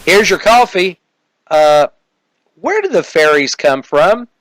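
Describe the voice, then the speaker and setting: A man's voice: high voice, very animated, slow pace, a bit bright, slight background noise, pitched above the performer's own voice, exaggerated voice, poor audio. A man voicing a naive, dim-witted detective sidekick in a comic police-drama parody. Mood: puzzled.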